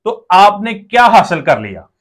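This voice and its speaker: A man's voice: high voice, sing-song, moderate pace, fairly bright tone. A man in his thirties with a Pakistani accent, asking questions as the host of an on-location interview. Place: small brick-walled workshop room indoors. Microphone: lapel.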